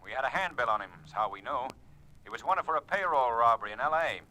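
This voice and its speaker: A man's voice: medium pitch, expressive, fast talker, bright, forceful tone, low-quality recording. An actor playing a businesslike policeman on the telephone, with a clipped official manner in 1940s radio drama style. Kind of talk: 1940s radio suspense acting. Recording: vintage radio recording, faint noise.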